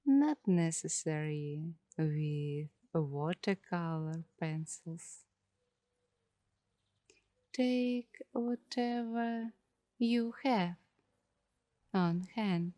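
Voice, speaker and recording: A woman speaking in a soft, hushed, partly whispered voice as an ASMR host, giving meditative narration in short phrases with long pauses. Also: lowish voice, no echo, studio quality.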